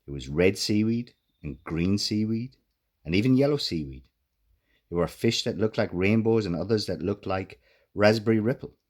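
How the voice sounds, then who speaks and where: A man reading a children's storybook aloud as an expressive narrator. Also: on the low side, moderate pace. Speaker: author reading own children's book.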